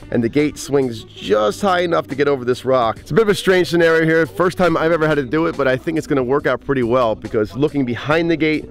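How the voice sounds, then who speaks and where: Upbeat man walking through a backyard build. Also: mid-range voice. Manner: upbeat, explains step by step. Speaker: pond builder and channel host. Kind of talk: on-site build walkthrough. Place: outdoors at a backyard construction site. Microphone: handheld camera held close.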